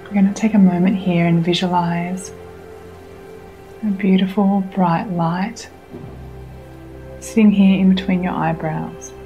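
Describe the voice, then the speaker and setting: A woman leading a guided meditation in a soothing, unhurried way, leaving long pauses and giving gentle instructions. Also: lowish voice, fairly even intonation, lo-fi sound. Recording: music under the voice. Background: meditation music.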